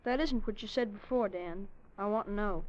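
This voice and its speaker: A woman's voice: medium pitch, normal speed, a little mellow, close to an everyday voice. A woman playing a stoic, determined thirteen-year-old American ranch boy in a terse old-time radio western style. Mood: cold, determined. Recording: muffled, noisy old radio recording.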